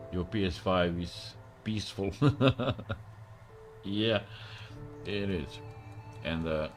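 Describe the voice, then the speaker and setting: Deep slow man with Croatian accent. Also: animated, soft background music. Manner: rambling, many filler pauses, broken English grammar. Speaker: livestreamer. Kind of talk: casual live-stream chat with viewers.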